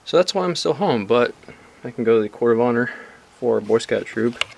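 A man's voice: mid-range voice, brisk, creaky, a little hiss or hum. A young man talking casually into a camera at arm's length, rambling with lots of fillers in a small room. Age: young adult. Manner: relaxed, rambling with many fillers. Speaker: vlogger. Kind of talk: casual video diary. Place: small bedroom and dorm room indoors. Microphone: phone or camera at arm's length.

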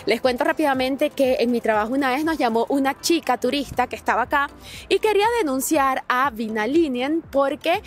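Chatty high-voiced young woman outdoors. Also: a little fast, soft background music. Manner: lively, chatty, addresses viewers directly. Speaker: vlogger. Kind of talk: advice vlog to camera. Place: outdoors in a riverside park. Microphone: small handheld clip mic.

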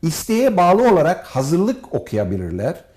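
A man with a fairly high, mellow voice speaks as an academic being interviewed. His delivery is formal and measured, laying points out in orderly, list-like phrases. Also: huge pitch swings. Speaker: professor and department head, interview guest. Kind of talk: formal, prepared-sounding interview answers.

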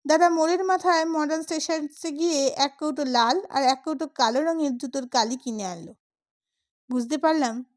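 A high-pitched female storyteller reads a humorous short story from a script. Her delivery is expressive audiobook narration, and she acts out the characters' lines as they come.

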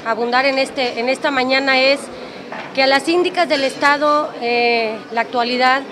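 A woman with a Mexican accent speaks high-pitched at a press conference. She delivers her statement in short, separated phrases. The room around her is full of people. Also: steady tone, normal speed, a crowd in the background. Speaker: municipal official speaking to press. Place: crowded indoor room. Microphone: press microphones on table.

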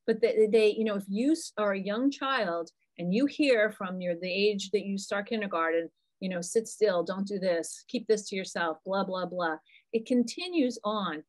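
A middle-aged woman, a psychologist, answering parents' questions in a lively, chatty way on a video call from a small room. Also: medium pitch, moderate pace, poor audio. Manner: talkative, animated, answers questions from the chat. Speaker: psychologist presenting a parent webinar. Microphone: computer mic on a video call.

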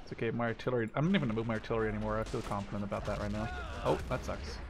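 A man in his twenties from the Netherlands chatting casually as he plays a game, excitable and prone to exclaiming. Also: lowish voice, racing, music in the background. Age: 20s. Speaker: gaming video host and player. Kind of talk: casual gameplay chat and banter. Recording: game gunfire and artillery noise behind. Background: gunfire and artillery from a war game.

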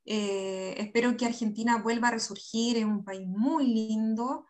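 A woman's voice: a little high, measured pace, darkish tone. A woman with a Chilean accent speaking candidly and conversationally as an interview guest on a video call. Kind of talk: casual conversational interview talk. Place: indoor room on a video call. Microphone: computer video-call microphone.

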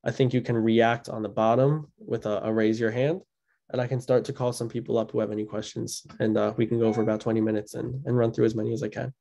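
A young man hosts a video-call webinar, his voice mellow and dark in tone. He talks in a loose, conversational way, full of ums and quick restarts, as he walks through slides and answers questions. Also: mid-range voice, vocal fry. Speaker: host of an educational webinar. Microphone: video call microphone.

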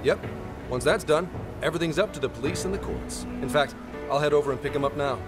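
Man as a businesslike, slightly high-voiced lawman. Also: quick pace, music in the background, close to an everyday voice. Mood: matter-of-fact. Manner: casual, matter-of-fact. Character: businesslike adult male law officer ally. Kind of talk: anime video game acting.

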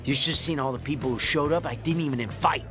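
A man's voice: medium pitch, fast talker, music in the background, natural voice. A man playing a gushing, laid-back young party friend in a radio drama, effusive and slangy. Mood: excited, admiring.

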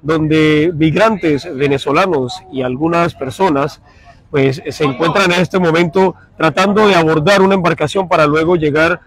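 A middle-aged man reporting live for radio from a crowded outdoor dock, speaking in a formal, measured way into a handheld mic. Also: fairly high pitch, rough recording. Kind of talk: live field report and street interviews. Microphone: handheld mic held close.

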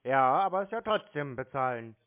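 A man's voice: mid-range voice, very animated, moderate pace. A man on a phone call answering tersely in an obtuse, naive-sounding way. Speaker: prank call recipient stringing along a scammer. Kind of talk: phone conversation. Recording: narrow muffled phone line.

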